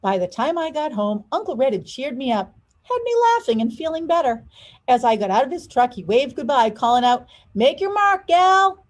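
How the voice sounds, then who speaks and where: A woman reading a children's storybook aloud in an expressive, dramatized storytelling manner. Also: a little high, fairly quick. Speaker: school leader reading aloud.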